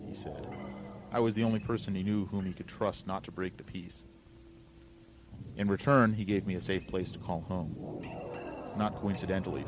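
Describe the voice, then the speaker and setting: A man with a very deep voice reads a first-person story aloud as narrator. The recording has clear noise behind him. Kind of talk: first-person fiction reading, scripted narration.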